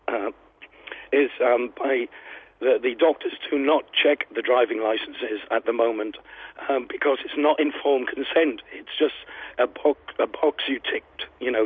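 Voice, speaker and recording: Hoarse man on a tinny phone line. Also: medium pitch. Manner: halting, many ums and restarts. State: hoarse, losing his voice. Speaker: phone-in guest, lobby group founder. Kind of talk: radio phone interview. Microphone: telephone.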